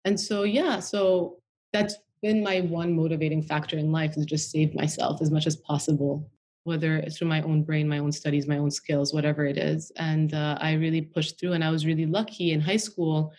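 Low-pitched woman guest, chatty, says like and you know constantly. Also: flat delivery, normal speed, a little mellow. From Washington DC, United States. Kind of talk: casual interview conversation.

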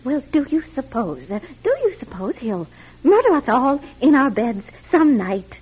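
A woman's voice: high voice, very animated, a little slow, a little mellow, slightly rough, full character voice. An actress playing a gullible, easily scandalized elderly lady in an old-time radio drama, fluttery and prim. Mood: fretful, curious. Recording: dull, band-limited radio drama recording.

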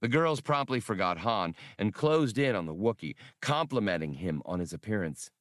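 A man's voice: lowish voice, animated, moderate pace, dry recording, clean studio sound. A man reading adventure fiction as a scripted, dramatic audiobook narration. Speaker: audiobook reader.

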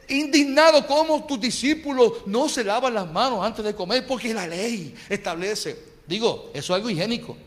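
Brief: Puerto Rican male preacher, very high voice, fervent, call-and-response with the flock, long church reverb. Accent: Puerto Rican Spanish. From Puerto Rico. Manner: impassioned, calls the congregation for amens. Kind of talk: sermon. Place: church sanctuary.

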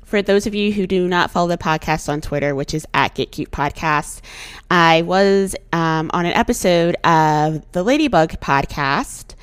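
A young woman hosting her solo podcast, her voice a little hoarse, talking earnestly and outspokenly with animated emphasis. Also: lowish voice, moderate pace, dry recording. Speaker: podcast host.

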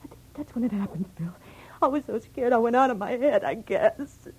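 Husky higher-pitched woman, desperate scheming dame, emotional halting delivery, vintage radio drama. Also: lively intonation, darkish tone. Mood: shaken, explaining. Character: distraught scheming femme fatale, fragile then desperate. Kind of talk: 1940s radio acting, melodramatic.

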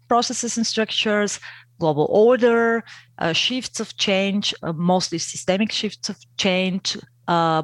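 Woman, guest expert, slow deliberate delivery with frequent fillers. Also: lowish voice, no room sound. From Bulgaria. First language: Bulgarian. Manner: hesitant, many fillers, careful academic phrasing. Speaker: guest, geopolitics researcher. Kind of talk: interview answers.

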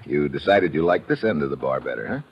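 An actor playing a tough, wisecracking agent in an old-time radio adventure, slangy and wry. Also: male voice, a bass voice, animated, fast talker. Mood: wry, casual. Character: tough wisecracking male agent, world-weary, narrating his own adventure. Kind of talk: old-time radio adventure acting, hard-boiled narration. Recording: dull, noisy old radio recording.

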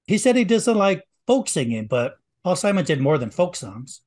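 A man's voice: high voice, big pitch swings, normal speed, darkish tone. A man chatting casually, friendly and teasing. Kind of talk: casual chat.

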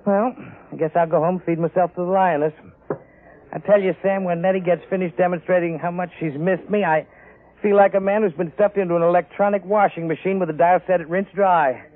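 A man's voice: sits high, fairly even intonation, brisk, close to an everyday voice. Man playing a weary, wry American henpecked husband. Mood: weary, wry grumbling. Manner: grumbling, wry. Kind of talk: 1950s radio acting. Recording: narrow-band vintage radio recording.

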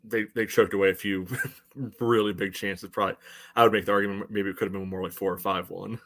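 A man with a fairly low voice gives casual sports analysis as a podcast co-host. His delivery is halting and padded, working through each point piece by piece. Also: flat delivery, a bit bright. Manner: halting, peppers talk with "you know".

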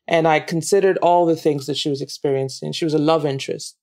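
A Nigerian-accented woman from Nigeria, a guest actress chatting reflectively in an interview, laughing easily and pausing with ums. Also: low voice, fairly even intonation, a little mellow. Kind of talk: casual interview chat.

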